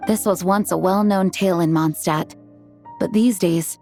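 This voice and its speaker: A woman playing a dutiful, composed knight commander in a video game, speaking in a measured, formal, courteous way. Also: fairly low pitch, mellow. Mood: earnest, thoughtful. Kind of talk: video game dialogue and story narration. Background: music.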